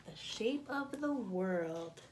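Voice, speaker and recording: A woman reading a picture book aloud to children, expressively and with deliberate phrasing, as a storyteller. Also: medium pitch, animated, background noise.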